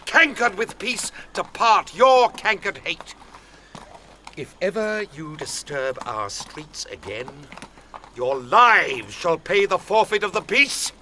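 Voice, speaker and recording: An actor playing an angry, commanding prince, declaiming imperiously in a Shakespearean audio drama. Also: male voice, high voice, pushed and bright, slight background noise, full character voice. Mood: stern command. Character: enraged, commanding prince rebuking his brawling subjects.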